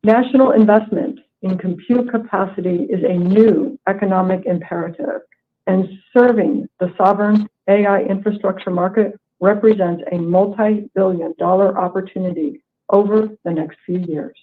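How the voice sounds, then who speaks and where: A woman's voice: mid-range voice, steady tone. Woman reading formally over a tinny phone line. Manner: formal, measured reading of prepared remarks. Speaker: company executive presenting quarterly earnings. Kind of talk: scripted corporate earnings call presentation. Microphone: conference call line. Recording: tinny, narrow phone-line conference call.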